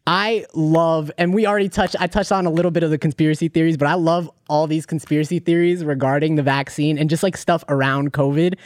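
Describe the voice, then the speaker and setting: A man's voice: on the high side, fairly even intonation, fast talker, hardly any pauses, no room sound. A young man hosting a podcast in a studio, chatting loosely with lots of swearing and joking banter. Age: young adult. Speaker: podcast host. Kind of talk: casual podcast chat. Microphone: studio mic.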